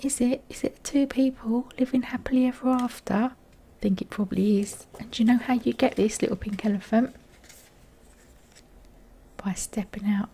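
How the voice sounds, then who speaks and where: Husky, whispery woman reading tarot. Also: medium pitch. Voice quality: husky, breathy, croaky, often near a whisper. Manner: gentle affectionate address, chatty asides. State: croaky voice, low on energy. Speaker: tarot card reader and channel host. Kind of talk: intimate, informal tarot reading addressed to viewers.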